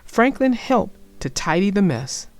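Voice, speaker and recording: A woman narrating a children's story aloud in an expressive storytelling manner. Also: medium pitch, measured pace, soft background music.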